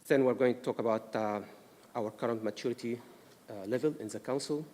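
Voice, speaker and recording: A man's voice: medium pitch, fairly quick, a little mellow. A man from Egypt with an Egyptian accent, presenting a risk management workshop to a council, explaining carefully with frequent "uh" fillers. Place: council meeting room. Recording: distant mic in a reverberant room with noise.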